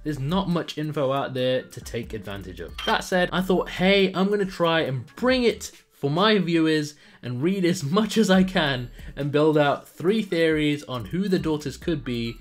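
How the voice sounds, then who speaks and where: A man's voice: on the high side, lively intonation, moderate pace, few pauses, faint music behind. A man hosting a gaming channel, narrating a scripted video essay with enthusiastic, emphatic presenter phrasing. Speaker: YouTube gaming channel host.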